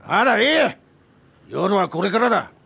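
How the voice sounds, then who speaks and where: A drunken, domineering film director in his seventies, in a radio drama. The voice is heavy with gravel and creak, pitched high, and it swings widely as he gives gruff orders and needles people. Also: big pitch swings, a little slow, bright, forceful tone, full character voice. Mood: scornful. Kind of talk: modern radio drama acting.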